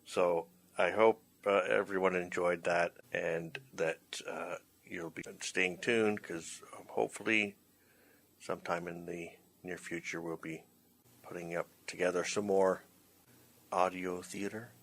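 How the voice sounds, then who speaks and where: A man hosting a casual, unscripted chat as a drama instructor, speaking in a hesitant, halting way. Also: sits low, steady tone, creaky, a bit bright. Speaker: host, drama school instructor, writer-director.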